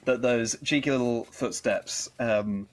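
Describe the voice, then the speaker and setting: A man's voice: medium pitch, unhurried. A man hosting a conversational interview in an earnest, encouraging and effusive manner. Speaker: interview show host.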